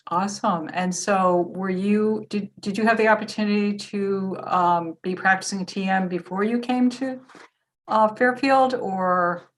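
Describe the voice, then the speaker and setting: A woman's voice: mid-range voice, fairly even intonation, a little slow. Woman, podcast host, casual interview, chatty, lots of fillers.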